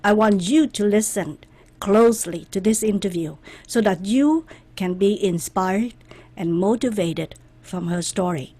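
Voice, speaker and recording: A woman hosting an interview, reading a guest introduction aloud and then asking questions in a deliberate way. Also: medium pitch, dark tone. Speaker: interview host.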